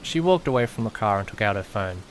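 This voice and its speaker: A man's voice: fairly low pitch, lively intonation, fast talker, a little hiss or hum, close to an everyday voice, rough recording. A man playing an anxious, easily panicked young man who narrates his own misadventure in an audio drama, dramatic and questioning himself. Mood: tense narration. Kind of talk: first-person audio drama narration with acted dialogue.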